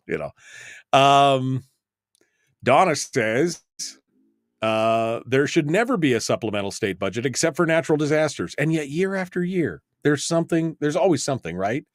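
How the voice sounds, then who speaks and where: A man's voice: mid-range voice, big pitch swings, unhurried, dry recording, studio quality. Blunt American man hosting talk radio. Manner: blunt, emphatic, repeats points for stress. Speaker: radio talk show host. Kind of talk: opinionated call-in radio talk.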